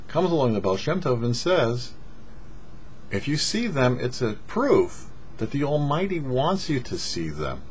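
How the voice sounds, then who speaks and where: A man who is a rabbi gives a short didactic sermon with emphatic phrasing and pauses. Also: mid-range voice. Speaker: rabbi giving a weekly Torah talk. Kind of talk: short sermon on the weekly Torah portion. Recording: muffled lo-fi audio with background noise.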